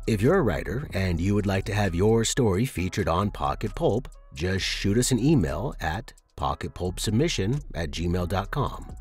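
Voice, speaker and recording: A man's voice: deep voice, a little mellow, husky. A man hosting a fiction podcast and narrating scripted horror in a dramatic style.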